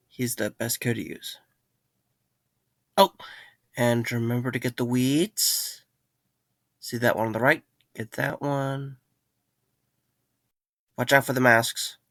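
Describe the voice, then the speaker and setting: An actor playing a young night guard, sarcastic but caring and a little weary, in an audio drama. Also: male voice, mid-range voice, fairly bright tone, no room sound, clean studio sound.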